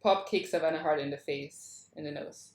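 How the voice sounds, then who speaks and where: A woman's voice: low-pitched, moderate pace, darkish tone. A woman reading screenplay stage directions aloud at a table read as scripted narration. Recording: echoey room.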